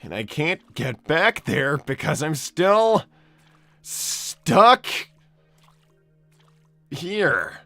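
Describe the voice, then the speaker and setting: A man's voice: fairly high pitch, very animated, drawn-out words, creaky, long pauses, bright, forceful tone, dry recording, soft background music, full character voice. A man voicing an anxious, exasperated young cartoon hedgehog hero in an improvised livestream, stammering and repeating himself as he talks. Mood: dejected, worried. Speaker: live improv role-play host. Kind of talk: improvised in-character livestream, reading viewer messages.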